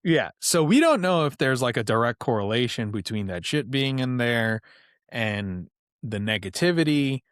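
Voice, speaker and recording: A man's voice: mid-range voice, animated, normal speed, a bit bright, clean tone, no echo, studio quality. A man guesting on a podcast, telling stories in a loose, chatty way with lots of "like" and casual swearing. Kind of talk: casual storytelling chat.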